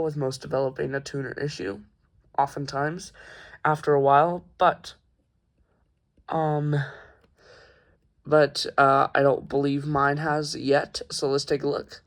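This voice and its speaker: A young man giving a casual, halting, informal product showcase as a hobbyist reviewer. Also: on the high side, little pitch movement. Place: carpeted room at home.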